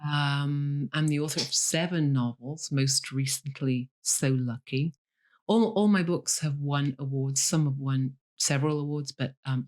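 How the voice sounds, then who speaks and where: A woman, a novelist talking about her life in an interview. She speaks candidly and slips in dry jokes. Her ums and restarts sound natural. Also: sits low. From Leeds, Yorkshire, England. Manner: dry humour, candid, fillers like um. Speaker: guest, novelist. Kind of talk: interview over a call.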